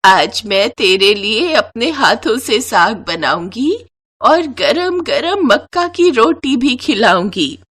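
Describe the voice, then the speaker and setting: A woman voicing an affectionate, tearful elderly grandmother who speaks in a slow, drawn-out, emotional way. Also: a little high, sing-song, fairly bright tone, husky, full character voice. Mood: tearful, tender. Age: older.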